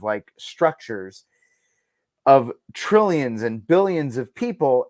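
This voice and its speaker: A man's voice: medium pitch, no room sound. A man talking casually as a podcast guest, giving emphatic, musing opinions peppered with "like" and "you know".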